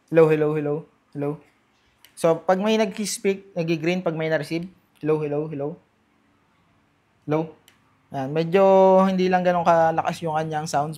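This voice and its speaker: A man's voice: on the high side, darkish tone. A Filipino man doing casual unboxing and testing commentary as a vlogger, talking informally straight to his viewers and mixing in English. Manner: informal, addresses viewers directly, mixes Tagalog and English.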